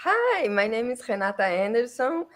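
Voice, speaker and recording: A woman's voice: on the high side, sing-song, moderate pace. Brazilian woman chatting playfully. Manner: hesitant phrasing, playful. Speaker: podcast guest. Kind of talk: casual chat.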